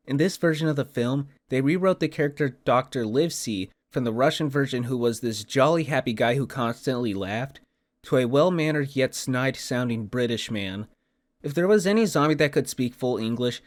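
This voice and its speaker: A man giving scripted narration as a voice actor presenting his own work. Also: mid-range voice. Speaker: voice actor and video creator. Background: music.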